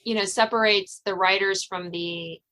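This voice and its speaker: A woman's voice: mid-range voice, steady tone, moderate pace, pushed and bright, a little hiss or hum, rough recording. Woman hosting a conversational podcast interview. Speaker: podcast host.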